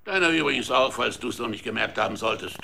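Vain, hot-tempered king, children's audio drama. Creaky, forceful, indignant delivery. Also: medium pitch, fast, slight background noise. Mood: indignant, gruff. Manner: indignant, commanding, exclaiming. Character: hot-headed, blustering Goth king, comically vain. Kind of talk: children's radio play acting.

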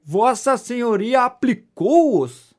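An actor playing a breezy, teasing young gentleman in a Brazilian audio drama comedy, speaking with mock politeness. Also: very high-pitched, big pitch swings, slow pace, exaggerated voice. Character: breezy, teasing young gentleman, horse-loving idle cousin. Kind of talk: audio drama of a 19th-century stage comedy.

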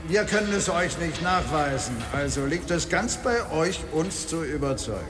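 An actor playing a hard, menacing, bullying police interrogator in a radio drama. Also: male voice, fairly high pitch, lively intonation, normal speed, close to an everyday voice. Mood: cold, menacing. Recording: echoey large hall, music behind.

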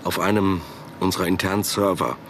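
A shrewd middle-aged company boss in a radio drama. His low voice is formal and discreet, with clear ambient noise behind it. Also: a little fast, fairly bright tone. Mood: conspiratorial. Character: shrewd, guarded corporate manager, middle-aged man. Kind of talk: German radio drama acting.

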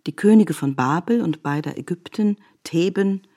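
A woman reading literary narration for radio in a deliberate, articulate way. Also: on the low side, expressive, dark tone.

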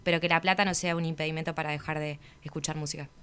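Female, Rioplatense accent, slightly low, crisp voice, informal radio-guest talk. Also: steady tone, rapid-fire, fairly bright tone. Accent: Rioplatense Argentine. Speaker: band member, guest on a radio show. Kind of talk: casual chat on a radio show.

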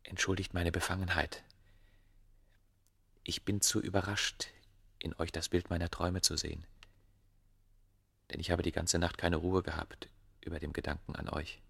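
A man acting an ardent scholar of ancient Egypt in a German radio play, with formal, poetic phrasing and a gravelly voice. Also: sits low, flat delivery, moderate pace, pauses often, a bit bright, exaggerated voice. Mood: awkward, smitten.

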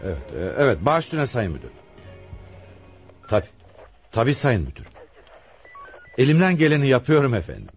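An actor playing a stern, hard-pressed police commissioner in a radio play, speaking in an insistent, commanding way. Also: male voice, mid-range voice, huge pitch swings, moderate pace, long pauses, muffled recording, faint music behind. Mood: flustered, deferential. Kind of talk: radio theatre acting.

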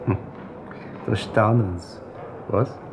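Low-voiced mocking Austrian railwayman, noisy surroundings. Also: steady tone, slow pace. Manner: sardonic, needling. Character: mocking baker in a railwayman's uniform. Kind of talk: radio drama acting. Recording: loud background noise.

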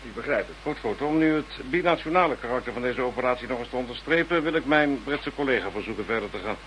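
A male actor as a government minister in a radio play, formal and deliberate. He sounds measured and authoritative at a middling pitch, over faint background hum. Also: expressive, fairly bright tone, lightly acted, low-quality recording. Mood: stern, official.

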